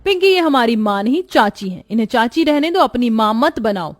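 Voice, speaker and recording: A woman's voice: on the high side, expressive, moderate pace, soft background music, lightly acted. A woman playing emotional women of a troubled family in a moral story, with emphatic, melodramatic delivery. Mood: angry, scornful. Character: dramatic young and motherly women of a troubled family.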